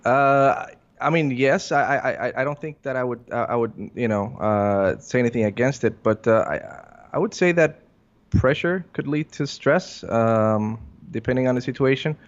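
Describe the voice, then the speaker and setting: A man answering interview questions as an air traffic controller, in a halting, hesitant way full of fillers, on a muffled recording. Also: medium pitch. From Puerto Rico.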